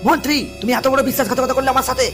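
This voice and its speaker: A woman's voice: sits high, steady tone, rapid-fire, over music, close to an everyday voice. A woman voicing an earnest, formal male king in a comic cartoon. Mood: alarmed, betrayed. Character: earnest, trusting but stern male king.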